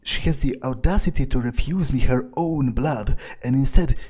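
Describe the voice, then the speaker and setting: A man role-playing a jaded, domineering, foul-mouthed male vampire in a video game, thinking aloud in a contemptuous, deliberate way. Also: mid-range voice, dark tone, slightly rough, close to an everyday voice. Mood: irritated, contemptuous. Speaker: gamer role-playing in character. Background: faint game music.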